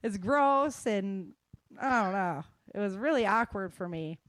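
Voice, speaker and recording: A woman's voice: mid-range voice, lively intonation, unhurried, a bit bright. A middle-aged woman giving an informal talk in a candid, colloquial way with joking asides.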